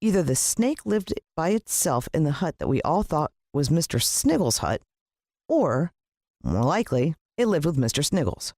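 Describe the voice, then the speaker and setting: A woman with an American accent giving a dramatic, expressive scripted narration of a children's story. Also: sits low, moderate pace, dry recording, clean studio sound. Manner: dramatic, playing a child's first-person point of view.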